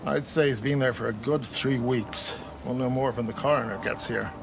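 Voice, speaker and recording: An actor playing an easygoing American police detective in a video game, talking in a casual, matter-of-fact way. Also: male voice, medium pitch, fairly even intonation, moderate pace, creaky, noisy background, close to an everyday voice. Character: easygoing male police detective briefing a colleague. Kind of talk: video game performance capture acting.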